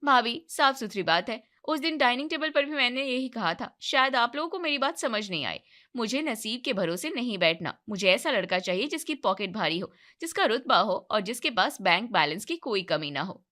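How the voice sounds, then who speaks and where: A woman acts out a young, money-minded daughter in a cartoon moral tale. Her voice is bright and cutting, and she is blunt, scornful and demanding about what she wants. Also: on the high side, big pitch swings, normal speed. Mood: scornful, insistent. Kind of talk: moral-story cartoon voice acting.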